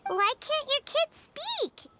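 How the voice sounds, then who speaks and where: A woman voicing a tiny, excitable, childlike fairy companion in a video game, with a squeaky voice and an exclamatory manner. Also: slow pace, heavy background noise, full character voice. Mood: curious. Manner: refers to self in third person, exclamatory. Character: tiny floating childlike fairy companion, excitable, curious, talks in third person.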